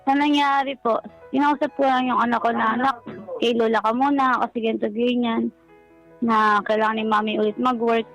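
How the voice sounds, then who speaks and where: A woman's voice: on the high side, little pitch movement, moderate pace, a bit bright, rough recording. Polite Filipino woman caller over music. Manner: deferential, polite. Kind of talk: recounting personal troubles. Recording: background music under the voice.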